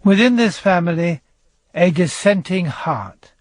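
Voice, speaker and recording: A man's voice: high voice, expressive, a little slow, dry recording. A man narrating a true-crime radio drama in a wry, portentous, scripted style. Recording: loud music behind.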